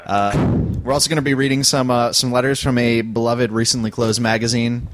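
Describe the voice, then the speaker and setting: A man's voice: mid-range voice, steady tone, brisk. A man hosting a casual podcast, introducing guests and laughing easily. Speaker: podcast host.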